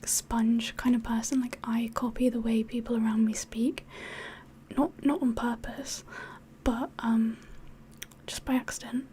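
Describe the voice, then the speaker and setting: A woman speaks in a hushed, mostly whispered ASMR style with a slightly mixed English accent. Her voice is fairly high yet mellow, and she takes her time, rambling hesitantly. A faint hiss sits behind her. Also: little pitch movement, a little slow. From England. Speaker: ASMR livestream host. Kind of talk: soft-spoken ASMR chat, mostly whispered.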